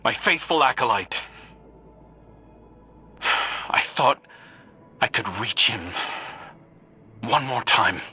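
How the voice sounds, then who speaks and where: An actor playing a weary, regretful veteran warrior in a video game, speaking earnestly in a husky voice. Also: male voice, mid-range voice, expressive, takes it slowly, long pauses, pushed and bright, exaggerated voice.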